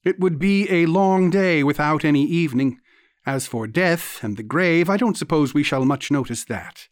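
A man's voice: fairly high pitch, moderate pace, no echo, studio quality, lightly acted. Man reading as a stiff, pompous young man. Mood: nettled, blundering. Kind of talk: audiobook character reading.

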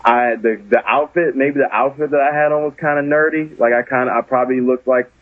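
A man's voice: medium pitch, steady tone, a little fast, no echo, narrow, tinny audio. A man, a comedian guest on a podcast, telling a story in an earnest, self-deprecating way.

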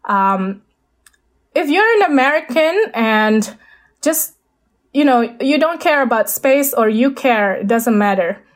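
A woman's voice: fairly high pitch, animated, unhurried. A woman with an Indonesian accent, a guest speaking over a video call, talking hesitantly with many fillers and restarts. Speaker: guest, space architect.

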